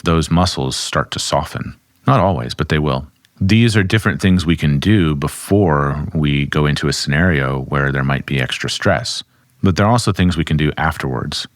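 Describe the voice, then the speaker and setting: A man, a somatic therapist, calmly explaining and demonstrating grounding exercises at his desk. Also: a bass voice, normal speed, dry recording, studio quality. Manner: calm, instructive, speaks while demonstrating.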